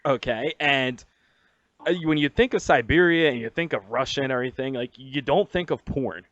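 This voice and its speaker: A male radio host runs a loose interview, hyped, crude and swearing, often stumbling into restarts. His voice sits at a plain middle pitch. The sound is dry and a little muffled. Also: normal speed, fairly bright tone, no echo.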